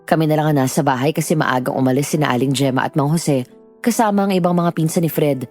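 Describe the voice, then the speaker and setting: Low, bright female horror narrator. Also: fast, faint music behind. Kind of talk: scripted first-person horror narration.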